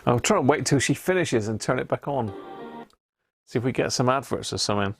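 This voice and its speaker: A man's voice: mid-range voice, animated, fairly quick, gravelly, faint music behind. A British man hosting a casual livestream, talking informally to viewers and thinking aloud with frequent tag questions. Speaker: livestream host.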